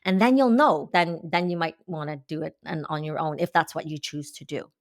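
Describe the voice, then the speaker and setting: Lady in her 50s, low voice, earnest explaining as an interview guest, dry close sound. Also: brisk, dry recording. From Canada. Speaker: guest, acupuncturist. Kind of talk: interview answers.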